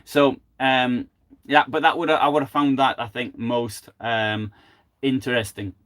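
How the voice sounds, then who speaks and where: A man's voice: medium pitch, level tone, a little slow, a bit bright. Older guy rambling through a live history talk into his computer mic, lots of ums and restarts. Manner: hesitant, many fillers and restarts. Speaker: history presenter.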